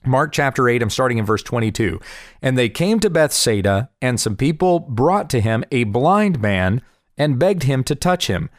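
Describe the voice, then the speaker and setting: A man in his forties, slightly hoarse from allergies, earnestly explaining Bible passages and answering viewer questions. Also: medium pitch, expressive, normal speed, dry recording, studio quality. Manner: earnest, explanatory, reads scripture aloud. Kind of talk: casual Bible teaching video. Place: home in Kansas.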